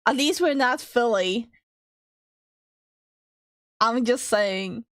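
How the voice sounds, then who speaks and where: High-voiced, unhurried woman streamer. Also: a bit bright, no echo, clean studio sound. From Minnesota. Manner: playful, teasing, swears freely. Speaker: streamer co-host. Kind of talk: casual stream banter.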